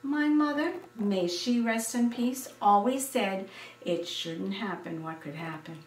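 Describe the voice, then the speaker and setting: A woman's voice: mid-range voice, takes it slowly, natural voice. Woman acting out an emphatic superstitious mother, roomy sound. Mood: knowing. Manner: exclamatory, emphatic warnings. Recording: roomy, distant microphone.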